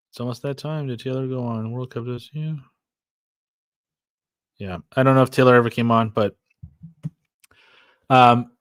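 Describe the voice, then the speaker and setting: Casual American host, 40s, rambling livestream chatter, mid-range voice, dry close mic. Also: male voice, medium pitch, fairly bright tone, dry recording. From California, USA. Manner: rambling, lots of fillers and restarts, reads chat aloud. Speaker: podcast host.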